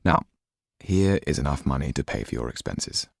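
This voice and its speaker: Male reader as courteous audiobook gentleman, thoughtful friend; husky bass with some gravel, measured phrasing. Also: lively intonation, dry recording, clean studio sound, exaggerated voice. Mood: kindly, practical. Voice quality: very deep, husky. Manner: genteel, measured, confiding. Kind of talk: audiobook character acting.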